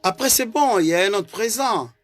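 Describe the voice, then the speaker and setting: A man's voice: high-pitched, expressive, a little fast, soft background music. Earnest Congolese French-speaking man. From Congo (DRC). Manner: earnest, hesitant, repeats words. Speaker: political party vice-president. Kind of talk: political commentary monologue.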